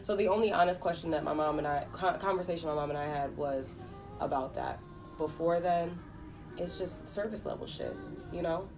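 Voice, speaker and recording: Interview guest: woman, 20s, very deep register, candid and reflective, prone to swearing, clear music bed. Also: recorded from a distance. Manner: frank, halting and reflective, with casual swearing. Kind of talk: candid personal conversation. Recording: off-mic voice under prominent music.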